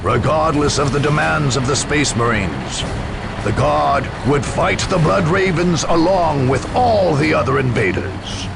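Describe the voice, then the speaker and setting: A man delivering scripted dramatic narration for a war game's story, in a grave, portentous, measured storytelling manner. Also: fairly high pitch, very animated, vocal fry, noisy background. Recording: dramatic music behind the voice. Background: music.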